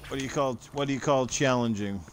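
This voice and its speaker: A man's voice: medium pitch, fast, slight background noise. A man hosting a podcast, chatting casually and joking while reading out chat comments. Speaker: podcast host.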